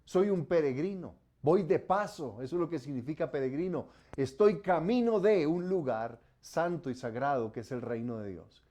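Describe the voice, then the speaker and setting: A man from Colombia, preaching a sermon as pastor, rising on commands and dropping low and soft on solemn warnings. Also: lively intonation, moderate pace, hardly any pauses.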